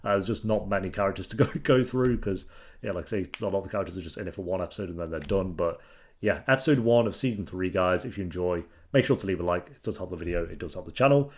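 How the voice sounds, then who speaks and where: A man's voice: low voice, quick pace. A young man hosting a reaction video, chatting casually and laughing easily. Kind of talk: casual commentary.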